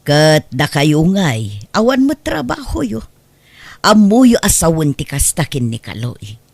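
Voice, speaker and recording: A reproachful middle-aged aunt, played by a woman in a radio drama, husky and lowish, her voice swooping up and down as she scolds. The sound is dry and close, with no room around it. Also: huge pitch swings, slow pace, darkish tone, no room sound, heavily acted. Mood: scolding.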